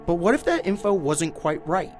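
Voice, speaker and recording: A man's voice: high voice, big pitch swings, moderate pace, faint music behind. An American man narrating a scripted television news report as a reporter.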